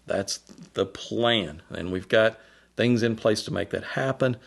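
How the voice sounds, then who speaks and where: A man speaking as a loudspeaker designer, explaining his products in an informal, colloquial and enthusiastic way. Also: on the low side, unhurried, vocal fry. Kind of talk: informal product presentation to camera.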